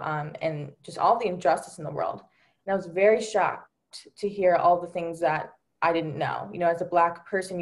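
Young woman panelist, slightly low voice, sincere storytelling over a video call. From Delaware, USA. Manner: earnest, many fillers like um and you know. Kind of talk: personal account on a virtual panel.

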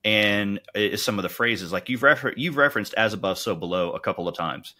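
Bright, forceful low-voiced man. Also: a little fast. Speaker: podcast co-host. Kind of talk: casual podcast discussion.

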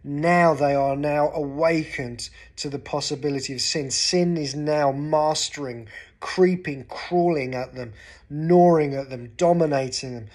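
Earnest English pastor talking to camera. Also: male voice, a little high, a little slow, a little mellow. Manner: earnest, gestures with his hands while talking. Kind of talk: short devotional talk to camera. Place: living room, seated on a sofa indoors.

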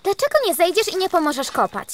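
A woman voicing a feisty, bossy young female cat in a cartoon, sharp and sarcastic. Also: very high-pitched, lively intonation, fast talker, slight background noise. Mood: annoyed. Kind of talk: animated cartoon dubbing.

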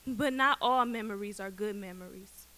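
A young woman in her late teens with an American accent, reading a prepared personal speech in an emphatic, dramatic way with deliberate pauses. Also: medium pitch, bright, forceful tone. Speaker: student essay contestant. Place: auditorium stage, indoors. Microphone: podium microphone.

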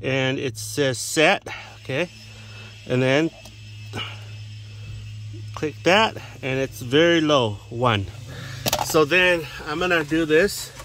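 Male DIY demonstrator, casual and plainspoken, unhurried halting delivery, slight background noise. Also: on the high side, fairly bright tone. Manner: plainspoken, talks in short halting steps while working. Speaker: DIY radio hobbyist demonstrator. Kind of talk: hands-on how-to narration, casual. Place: in and beside a parked vehicle outdoors. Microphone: handheld phone, one-handed filming.

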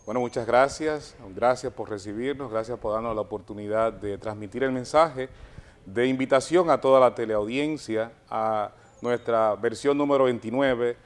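A Dominican man in his forties, a chamber of commerce representative, speaking in a measured, soft way with fillers as a TV guest on a lapel mic. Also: medium pitch. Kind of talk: formal guest interview. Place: TV studio set.

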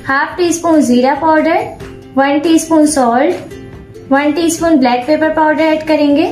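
High-voiced woman narrating a recipe. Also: normal speed. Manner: calm step-by-step instructions, English cooking terms mixed in. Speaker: cooking channel host. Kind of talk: recipe tutorial voice-over. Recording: echoey room with soft background music.

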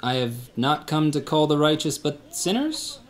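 Calm gently teasing man. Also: on the high side, measured pace. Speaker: actor in a church radio play. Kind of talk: church radio play acting.

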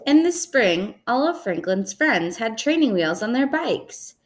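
A young woman reading a children's picture book aloud in an expressive, deliberate way, recorded indoors at home. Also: a little high. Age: young adult. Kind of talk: storybook read-aloud. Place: indoors in a small room at home.

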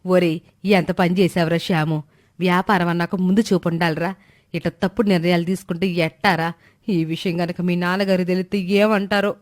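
A woman's voice: fairly low pitch, steady tone, fairly quick, a little mellow, voice pushed down, natural voice. A woman voicing a doting older mother who scolds, pleads and nags in a dramatic way in a moral story. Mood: scolding.

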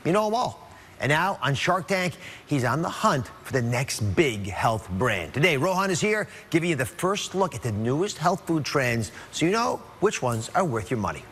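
A middle-aged man hosts a TV talk show with a showy, upbeat delivery. His pitch climbs and swoops a lot, sitting a bit high for a man. A faint hum of studio ambience runs behind him. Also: moderate pace. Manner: energetic, showy announcer delivery. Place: TV studio set. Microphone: lapel mic.

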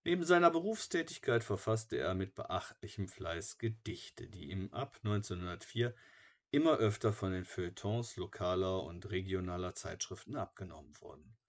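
A man narrating a biography from a script in a measured, matter-of-fact way, like an audiobook. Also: sits low, animated.

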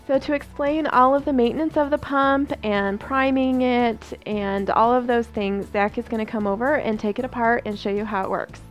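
Higher-pitched woman chatting casually. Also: steady tone, moderate pace, few pauses, faint music behind. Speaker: homesteading host. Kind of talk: casual vlog chat. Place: home kitchen.

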